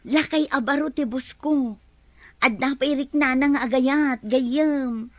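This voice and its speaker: A woman's voice: sits high, slow, no echo. A woman playing a chatty, curious young woman who gossips with a friend. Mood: teasing. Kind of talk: radio drama acting.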